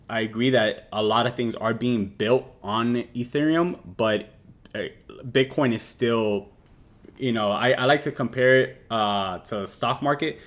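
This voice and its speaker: A man in his thirties hosting a casual crypto livestream, talking loosely with lots of fillers and restarts. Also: lowish voice. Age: 30s. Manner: rambling with many fillers and restarts. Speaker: crypto show host.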